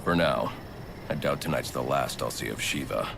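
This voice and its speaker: An actor playing a grim, brooding vigilante detective in a video game, speaking tersely and sternly with a gravelly voice. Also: male voice, rumbling low, normal speed, background noise. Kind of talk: dramatic video game acting.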